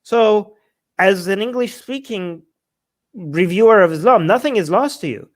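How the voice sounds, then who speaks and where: Blunt, animated man in casual chat. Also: sits high, measured pace. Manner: animated, blunt and opinionated, with many fillers and restarts. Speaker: co-host answering viewer questions.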